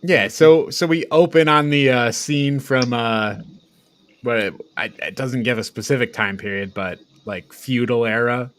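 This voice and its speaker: A man's voice: medium pitch, a little slow. A man co-hosting a movie review, recapping scenes in a loose, hesitant, conversational way. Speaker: co-host of a movie review show. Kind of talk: casual chat, recapping film scenes.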